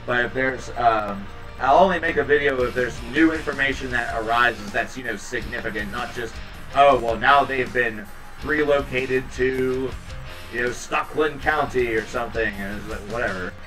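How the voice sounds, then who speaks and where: A man in his thirties hosting a home livestream, chatting casually with viewers in a rambling, low-key, tired way. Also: normal speed, recorded from a distance, over music, rough recording. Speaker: livestream host reading chat. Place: small home room indoors. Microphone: headset.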